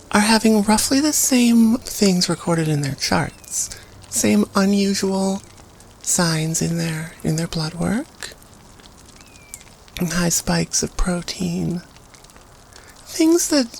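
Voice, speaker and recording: A woman playing a student who tells an eerie campfire story in a halting, conversational way, in an audio roleplay. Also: mid-range voice, vocal fry, a little mellow, slight background noise, low-quality recording. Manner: halting, conversational, thoughtful phrasing.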